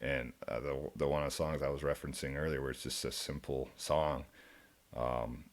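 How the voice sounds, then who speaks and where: A young man with a deep, low voice, a country musician guest in a studio, talking in a laid-back, rambling way and laughing often. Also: steady tone, normal speed. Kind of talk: casual interview chat. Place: radio studio.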